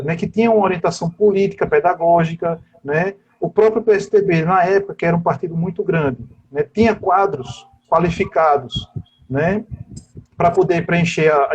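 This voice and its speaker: A fairly high-voiced man with a husky, slightly breathy voice, giving an interview. He talks at length in a discursive way and keeps tagging his sentences with little questions. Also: darkish tone, highs cut off. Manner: discursive, with constant tag questions. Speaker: interviewed teacher. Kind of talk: political interview over a live stream.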